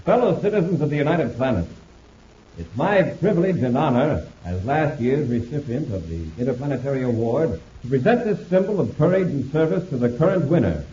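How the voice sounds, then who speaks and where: An actor playing a brisk, heroic space patrol commander in a 1950s radio drama, giving crisp orders. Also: male voice, medium pitch, very animated, warm tone, slight background noise, lightly acted. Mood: formal. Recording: dull old radio recording, echoey.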